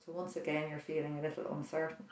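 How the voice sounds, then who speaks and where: A prayer leader talks the listener through a quiet, imagined scene. The pace is slow, with reflective pauses, and the voice stays flat and gentle. It is recorded from a distance in a room with a strong echo. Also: mid-range voice. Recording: roomy echo, distant mic.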